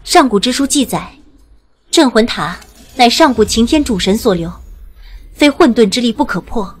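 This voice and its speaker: A woman's voice: fairly high pitch, animated, normal speed. A woman voicing an earnest, resolute deity who speaks formally and respectfully in an audio drama. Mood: firm reasoning.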